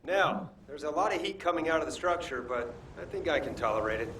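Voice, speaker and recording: Man playing a cocky hero, slightly high, echoey. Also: fairly quick, a bit bright, lightly acted. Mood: confident, flippant. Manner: wry, nonchalant quips. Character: cocky young male fire-wielding chef hero, flippant. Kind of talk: video game character acting. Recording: echoey, distant game audio, faint noise.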